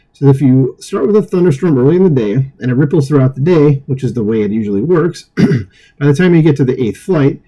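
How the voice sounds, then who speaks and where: Dark-voiced man reading news with snark. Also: medium pitch, breathy edge, poor audio. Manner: sarcastic asides, stumbles over words. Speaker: political commentary host. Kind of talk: reading a news article aloud with commentary.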